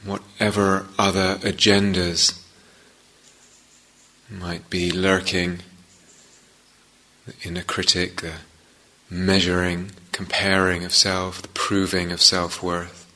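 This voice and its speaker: A man leading a guided meditation as a teacher, speaking gently and in measured short phrases with long pauses. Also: low-pitched, flat delivery.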